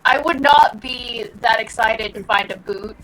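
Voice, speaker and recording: A woman's voice: fairly high pitch, a little slow, fairly bright tone, poor audio. A woman co-hosting a gaming playthrough, throwing in casual, joking asides and reactions.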